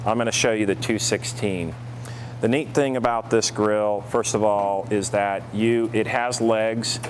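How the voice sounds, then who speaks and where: A man giving a casual product review as store staff, explaining informally with hesitant pauses. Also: fairly low pitch, steady tone, low-quality recording. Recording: noticeable background hum and noise.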